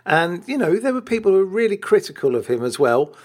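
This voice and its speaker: A man's voice: high-pitched, sing-song, fast, a little mellow. A man, co-hosting a football podcast in casual chat. He is enthusiastic, laughs often, and repeats words while he finds his thought.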